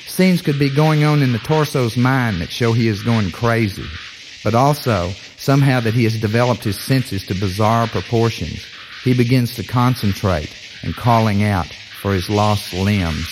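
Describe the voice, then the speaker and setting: A man narrating an audio story like a film pitch, in offhand, clipped fragments. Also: poor audio.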